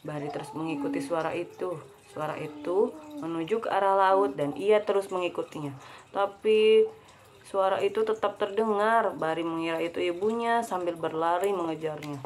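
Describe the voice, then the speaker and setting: A woman's voice: fairly low pitch, moderate pace, a little mellow. A young woman reading a children's story aloud to a child, breaking off for chatty asides. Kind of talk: casual read-aloud storytelling.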